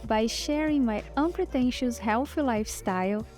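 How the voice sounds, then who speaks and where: A woman in her thirties whose first language is Portuguese, narrating a relaxed lifestyle vlog in a chatty way and restarting words now and then. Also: fairly high pitch, moderate pace. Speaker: lifestyle vlogger. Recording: soft background music.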